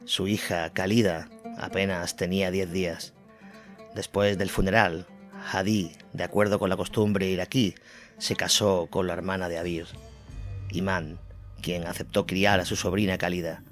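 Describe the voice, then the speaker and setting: A man performing scripted dramatic narration of soldiers' tragic histories in a measured, grave manner. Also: low-pitched, flat delivery, lightly acted. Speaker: second narrator. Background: music bed.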